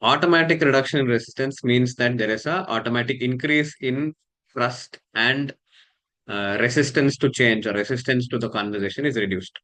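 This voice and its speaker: Indian man coaching, halting and measured. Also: mid-range voice, pushed and bright. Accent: Indian English. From Karnataka, India. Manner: measured, halting, many fillers and restarts. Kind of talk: casual talk to camera.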